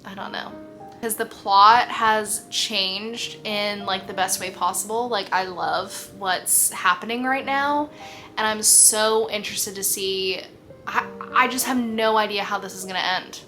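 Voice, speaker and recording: A young woman chatting casually in a reading vlog, expressive and full of "like", losing her voice. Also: mid-range voice, unhurried, soft background music. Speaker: book vlogger.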